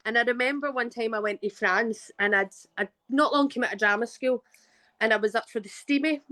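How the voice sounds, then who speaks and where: A woman's voice: on the high side, brisk, sharp tone. A Glaswegian woman in her thirties, an actress telling a story in a casual interview, chatty with frequent restarts. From Scotland. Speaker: guest actress.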